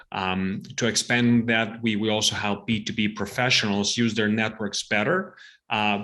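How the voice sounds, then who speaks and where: A man's voice: on the low side, sharp tone. Chatty Balkan-born founder man. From Serbia, Balkans region. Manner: repeats words often, many fillers. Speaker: startup CEO guest. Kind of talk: casual podcast interview.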